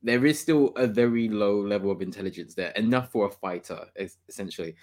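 Male podcast host, lowish voice, chatty and opinionated, laughs a lot. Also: a little fast, a little mellow. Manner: enthusiastic, opinionated, laughs often. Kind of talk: casual gaming podcast chat.

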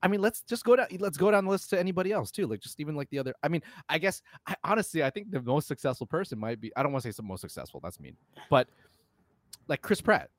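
A man co-hosting a podcast, chatting casually about films in an animated, slangy, argumentative way. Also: a little high, fast talker, no room sound. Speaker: podcast co-host. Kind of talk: casual film chat.

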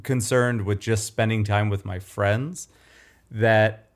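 A man with a nasal, throaty voice and a fairly low pitch talks in loose, rambling podcast chat. He often restarts his sentences and pokes fun at himself. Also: fairly even intonation, slow. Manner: self-deprecating, rambling with restarts. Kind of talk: casual chat.

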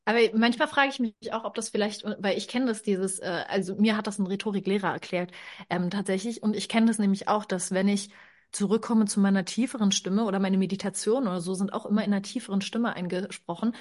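A woman's voice: medium pitch, fairly even intonation, quick pace, a little mellow. A woman speaking as a podcast guest in a casual conversation, explaining thoughtfully with many fillers and restarts.

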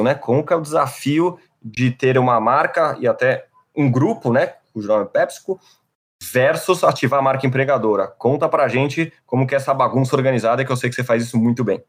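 A man's voice: medium pitch, a little fast, slightly rough. Brazilian male podcast host, playful and upbeat, medium voice. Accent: Brazilian Portuguese. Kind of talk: casual podcast chat.

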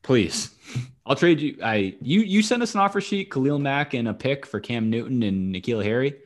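A man's voice: mid-range voice, lively intonation, moderate pace. A man co-hosting a sports podcast, chatting casually in a joking, slangy way.

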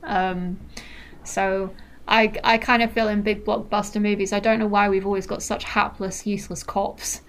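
A woman's voice: mid-range voice, flat delivery, normal speed. Casual chat from a young woman with a faint mancunian accent, talks in loose rambling bursts, co-host vibe. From Manchester, England. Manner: chatty, rambling, with frequent fillers and laughs. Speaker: podcast co-host. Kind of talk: casual film discussion.

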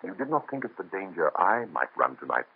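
An actor playing a kind young doctor of the Revolution, earnest and pleading, with a husky voice, in an old radio drama. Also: male voice, low-pitched, big pitch swings, fairly quick, heavily acted. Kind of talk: 1930s radio drama acting. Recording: muffled lo-fi old radio transfer.

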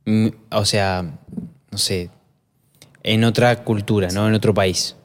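A man's voice: on the low side, fairly even intonation, slow. A man in his twenties with an Argentine accent, chatting casually and asking questions as a podcast co-host. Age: 20s. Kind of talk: casual chat, interviewing.

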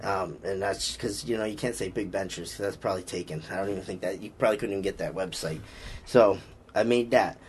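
Casting: Boston-accented man, lowish voice, easygoing strength coach on a podcast, faint hum behind. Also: a little fast. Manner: rambling, frequent fillers and restarts, upbeat about lifting. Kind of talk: casual podcast chat.

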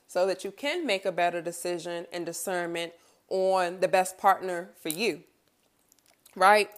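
A woman of thirty talking candidly and casually in a reflective personal monologue, as a podcast host, in colloquial phrasing and often checking in with the listener. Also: mid-range voice.

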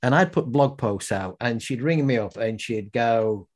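A man's voice: medium pitch, lively intonation, normal speed. An older man hosting a podcast interview, chatty and anecdotal, often restarting and repeating words. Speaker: podcast host.

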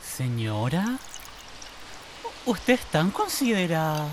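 An actress playing a timid, deferential housemaid from the rural south in a radio drama, with a Chilean accent and a hesitant, stammering delivery. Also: female voice, low-pitched, very animated, slow, noisy background, exaggerated voice. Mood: fawning. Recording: radio drama with music underneath.

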